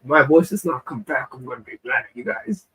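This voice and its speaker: Male musician guest, husky voice, slightly high, laughs through his stories. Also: fairly quick, low-quality recording. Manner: animated storyteller who laughs often. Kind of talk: casual chat on a live stream.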